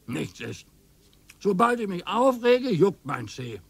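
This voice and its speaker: An actor playing a stubborn retired husband over sixty in a radio play, with deadpan complaining. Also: male voice, high voice, slow pace, vocal fry, breathy edge, dry recording, full character voice. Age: older. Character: grumpy retired husband, older man, dry and stubborn.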